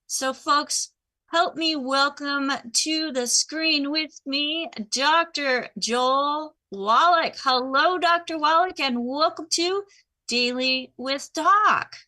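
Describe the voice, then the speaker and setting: A woman host introducing a guest in a slow, deliberate, announcing manner. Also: high-pitched, sharp tone. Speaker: show host.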